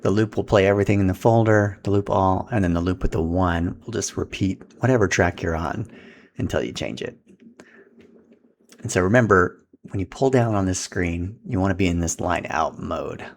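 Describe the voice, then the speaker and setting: A man's voice: sits low, normal speed, creaky. A man giving a casual, step-by-step setup tutorial in an informal, instructional way as he handles equipment.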